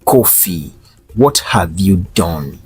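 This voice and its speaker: A man voicing an angry, proud older warrior uncle in a folktale, declaiming forcefully and scornfully. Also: low-pitched, expressive, slow pace. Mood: angry demanding. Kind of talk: dramatic folktale character voice. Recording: roomy echo over faint music.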